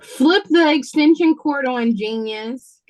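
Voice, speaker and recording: A woman's voice: high-pitched, slow, darkish tone. A woman playing a bossy, impatient, sarcastic waitress in an audio play read-through over a video call. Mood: busy, annoyed.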